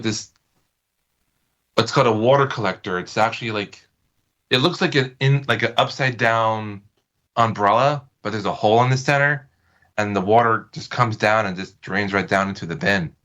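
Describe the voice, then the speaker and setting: A man's voice: fairly low pitch, fairly even intonation, normal speed, fairly bright tone. A man as a podcast guest, explaining things in casual, loosely phrased chat with tentative wording.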